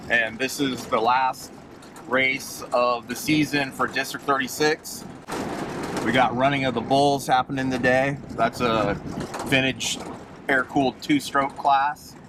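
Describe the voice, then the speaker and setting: A man who promotes motorcycle racing explains an event in a halting, plain-spoken way, outdoors at a raceway. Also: mid-range voice, fairly even intonation, noisy background. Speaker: race promoter. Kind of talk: casual on-camera explanation.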